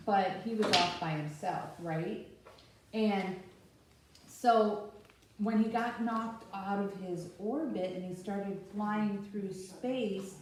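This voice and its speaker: A woman's voice: on the low side, a little mellow. A woman leading a children's story time, explaining things simply and giving instructions directly to young children. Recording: echoey room, distant mic, faint noise.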